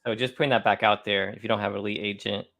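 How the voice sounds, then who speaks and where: A man's voice: fairly low pitch, fairly even intonation, fast, creaky, bright, forceful tone. A man co-hosting a live podcast, chatting casually and responding to comments.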